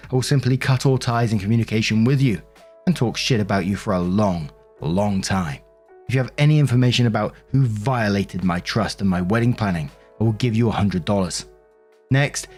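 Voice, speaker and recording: A man's voice: fairly low pitch, darkish tone. Man voicing a bossy bride, self-righteous, emphatic, social media rant, music behind. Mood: threatening. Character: indignant, bossy bride demanding obedience. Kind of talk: dramatic reading of social media posts.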